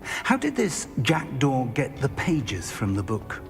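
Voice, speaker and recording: Older male wizard mentor, very wide pitch swings, unhurried, wry, curious, game cinematic, music behind. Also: huge pitch swings, heavily acted. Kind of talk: cinematic video game acting.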